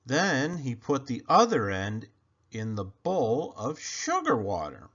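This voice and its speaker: A man reading a children's storybook aloud in an expressive, emphatic storytelling manner. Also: medium pitch, takes it slowly, dull audio. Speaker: storybook reader.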